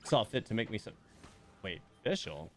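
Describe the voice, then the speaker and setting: A man's voice: on the low side, sing-song, moderate pace, faint music behind. A man giving casual, rambling live stream commentary on a game, thinking aloud as he plays.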